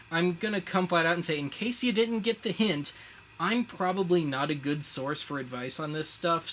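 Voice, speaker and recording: A man's voice: fairly high pitch, fairly bright tone. An American man casually explaining as a show host, with a wry, self-deprecating, slangy way of talking. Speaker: host of a retro media web show. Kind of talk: casual explainer, chatting through a gadget demo. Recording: clear background noise.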